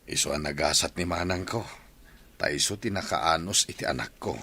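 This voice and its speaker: An actor with a Filipino accent playing an excitable, middle-aged village father in a radio drama. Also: rumbling low, moderate pace, slightly rough, exaggerated voice. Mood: regretful. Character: excitable, remorseful village father eager for grandchildren.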